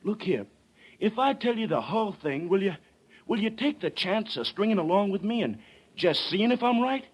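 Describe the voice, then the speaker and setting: A husky, high-voiced frontier boy. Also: sing-song, exaggerated voice. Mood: eager. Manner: halting, stammering, folksy Western drawl. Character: earnest young frontier boy, plucky and nervous. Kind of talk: 1930s radio drama acting. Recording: vintage 1930s radio recording.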